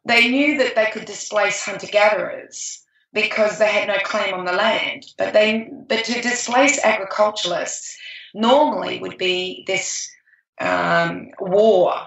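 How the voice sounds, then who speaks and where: A woman's voice: medium pitch, measured pace, vocal fry, a bit bright, far from the mic, lo-fi sound. A woman co-hosting a podcast, talking enthusiastically in a rambling way with many restarts. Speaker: podcast co-host. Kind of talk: casual chat. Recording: echoey room, voice off-mic.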